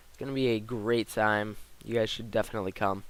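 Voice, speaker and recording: A teenage boy giving casual commentary over gameplay, slightly hoarse from a cold, rambling with lots of fillers. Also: lowish voice, steady tone, fairly quick. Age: teens. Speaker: gaming commentator.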